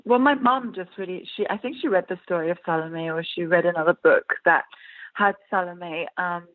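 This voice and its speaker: A woman's voice: lowish voice, moderate pace. A woman from Iran with an English accent, an actress chatting casually in an interview, with many fillers and frequent laughs. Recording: tinny phone-like line.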